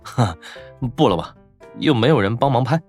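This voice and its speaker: It is a man acting a cool, shameless college guy who likes to tease, in an audio drama. The breathy voice swings widely in pitch, with faint music behind. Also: animated, normal speed, darkish tone, lightly acted. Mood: dismissive. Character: cool, teasing, shameless young male college student.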